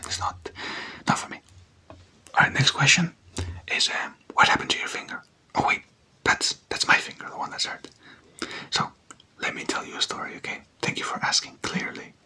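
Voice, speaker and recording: Casting: ASMR whisperer, very bright and breathy, casual and playful, conversational questions to the listener. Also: normal speed. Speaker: ASMR content creator.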